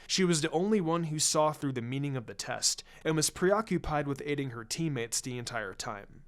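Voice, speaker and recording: A man's voice: a little high, lively intonation, normal speed, natural voice. A man voicing a candid, laid-back male ninja teacher in a story reading, matter-of-fact in manner. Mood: candid, approving. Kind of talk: storybook character voice.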